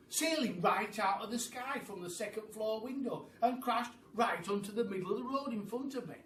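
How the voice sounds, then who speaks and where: A man reading a children's story aloud as a teacher, theatrically, with dramatic pauses and emphasis. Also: fairly high pitch, fairly bright tone. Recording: roomy, distant mic with faint background noise.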